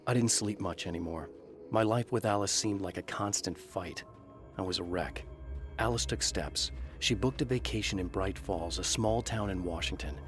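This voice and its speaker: Guy doing a moody novelist's inner narration, quiet and low. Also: close to an everyday voice. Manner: brooding, introspective. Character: weary male writer narrating. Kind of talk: scripted reflective narration.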